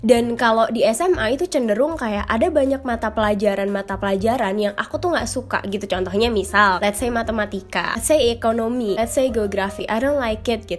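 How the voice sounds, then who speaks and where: A young woman chatting casually to her viewers, her voice nasal from a cold, often dropping English phrases into her talk. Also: on the high side. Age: young adult. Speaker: vlogger. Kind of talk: casual chat to camera.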